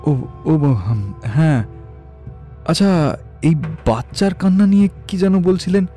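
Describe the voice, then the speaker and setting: A man's voice: sits high, animated, normal speed, dark tone, faint music behind. A man playing a puzzled, curious visitor in a cartoon story, hesitant and full of questions. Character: puzzled, curious male visitor sheltering from rain.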